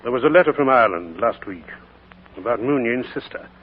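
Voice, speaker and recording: An actor playing a kindly middle-aged vicar who speaks gently and earnestly, in 1940s radio acting style. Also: male voice, medium pitch, animated, brisk, natural voice. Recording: tinny old radio broadcast with faint hiss.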